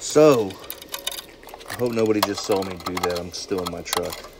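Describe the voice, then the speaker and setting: A man's voice: low voice, normal speed. A young man working as a plumber, chatting casually and teasing his viewers while filming himself on a job inside a house. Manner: playful, teasing, calls viewers "baby". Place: basement and tiled bathroom in a house, indoors. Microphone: phone held or worn, first-person view. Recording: noisy job-site recording.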